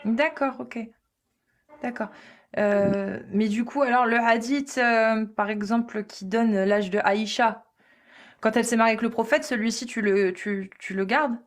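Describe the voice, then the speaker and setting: A woman's voice: fairly high pitch, measured pace. A woman hosting a live online debate, insistent and repetitive, with many fillers.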